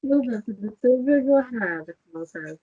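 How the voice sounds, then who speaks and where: A woman playing a courtly merchant magistrate who presents gifts and translates, in an amateur table read, with a husky voice. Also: a little high, animated, normal speed. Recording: dull, dry lo-fi audio.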